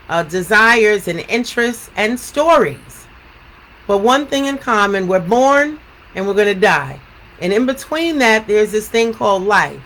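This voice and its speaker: A woman speaks as an educator at a storytime, with a medium-pitched voice. Her delivery is deliberate and emphatic, leaning on repeated words. There is faint noise in the recording. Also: fairly bright tone, poor audio. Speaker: educator reading a picture book aloud. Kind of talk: read-aloud storytime, then reflective talk.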